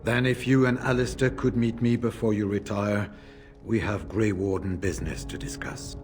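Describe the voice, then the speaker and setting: Casting: man as veteran fantasy warrior, lowish voice, grave, measured, formal speech, music underneath. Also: steady tone, lightly acted. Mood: resolute.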